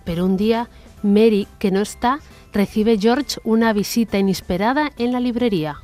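Woman, medium pitch, radio play narrator, storytelling delivery, faint music underneath. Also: moderate pace.

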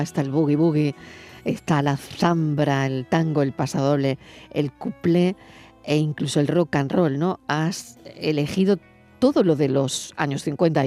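A woman interviewer with a softened Andalusian accent who asks questions in a chatty way and murmurs along often. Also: low voice. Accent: softened Andalusian Spanish. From Andalusia, Spain. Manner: frequent backchannel murmurs, chatty.

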